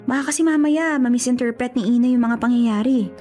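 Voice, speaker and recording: Woman acting a stubborn, self-sacrificing young woman, higher voice. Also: a little fast, dark tone, lightly acted. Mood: torn, confessing. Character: stubborn, self-sacrificing young woman resisting love for her sister's sake. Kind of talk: Tagalog radio drama acting. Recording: music behind the voice. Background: music.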